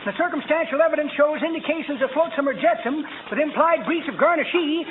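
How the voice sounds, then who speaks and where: A man's voice: extremely high pitch, fast talker. An actor playing a garrulous, folksy old man, an eccentric small-time lawyer in a 1940s radio comedy. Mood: pompous patter. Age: older. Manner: folksy, garrulous, mock-learned patter. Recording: muffled, noisy vintage radio broadcast.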